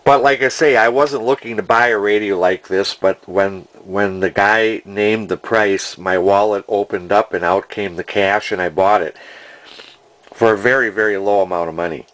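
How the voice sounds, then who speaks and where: A man, talking casually and unscripted as a collector in a deliberate, plainspoken, folksy way. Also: fairly low pitch, fairly even intonation, a bit bright, poor audio. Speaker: antique radio collector and restorer. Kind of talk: casual unscripted video narration.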